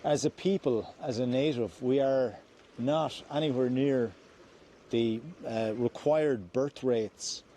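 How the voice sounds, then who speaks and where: An Irish man talks as he walks outdoors, earnest and measured, often going back to restart a phrase. His voice is mellow and dark, at a middling pitch. Faint outdoor noise sits under the talk. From Ireland. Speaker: vlogger. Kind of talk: walking vlog, opinion talk. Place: outdoors on slippery ground near a stream.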